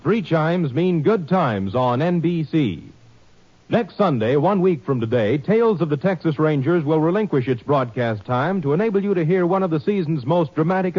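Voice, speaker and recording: A man announcing upcoming programs in measured, formal vintage radio style. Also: on the high side. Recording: muffled old radio broadcast.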